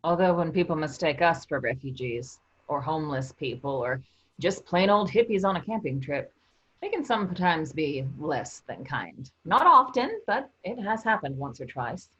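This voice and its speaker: A woman's voice: low voice, expressive, moderate pace, a bit bright, husky, full character voice. A woman playing a practical, teasing member of a travelling theater troupe in a staged play reading. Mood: wary. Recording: dull, lo-fi sound.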